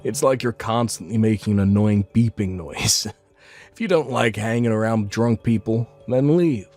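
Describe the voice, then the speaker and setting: A man's voice: fairly low pitch, normal speed, a little mellow, faint music behind, lightly acted. A man voicing a careless young brother who dismisses his sister and laughs things off. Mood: dismissive. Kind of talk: dramatized story acting.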